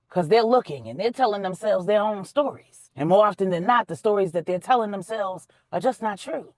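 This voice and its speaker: Candid matriarch for audio fiction, acted by a woman; preacherly, heartfelt counsel with lively pitch movement. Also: dry recording, clean studio sound, lightly acted. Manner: earnest, emphatic, preacherly, folksy. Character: wise, candid older mother giving heartfelt counsel.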